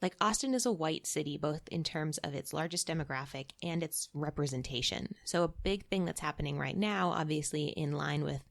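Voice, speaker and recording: A woman's voice: deep voice, brisk, gravelly, hardly any pauses. A woman from Massachusetts, a guest in a casual interview, talking chattily and often laughing. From Massachusetts, USA.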